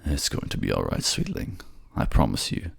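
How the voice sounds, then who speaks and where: A tender, wounded king played by a man from an intimate fantasy audio drama. His voice is extremely deep with a heavy gravelly fry. He speaks softly and haltingly, as a devoted, remorseful lover. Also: very animated, no room sound, clean studio sound, heavily acted. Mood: tender, resigned.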